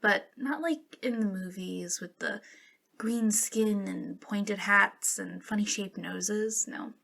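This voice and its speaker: A young woman playing a friendly, eager and slightly awkward witch shopkeeper in a softly spoken ASMR roleplay, with a hesitant, giggly way of talking. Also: mid-range voice, takes it slowly, gravelly, exaggerated voice. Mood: teasing, coy.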